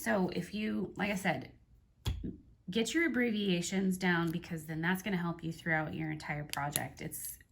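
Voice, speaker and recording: A woman with a drawl talks through crochet pattern terms in an unhurried, casual way. Her voice is hoarse, stuffy and gravelly from allergies, pitched a little low. She spells out letters one at a time before explaining them. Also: a bit bright. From North Carolina, United States. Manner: slow, careful spelling out of abbreviations. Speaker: crochet teacher. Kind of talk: casual tutorial.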